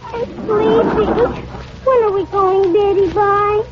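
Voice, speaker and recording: Very high-voiced sweet little girl. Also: steady tone, takes it slowly. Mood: sleepy. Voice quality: small childlike voice. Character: sweet innocent little girl, sleepy, trusting. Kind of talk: 1940s radio acting. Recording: muffled noisy 1940s radio recording.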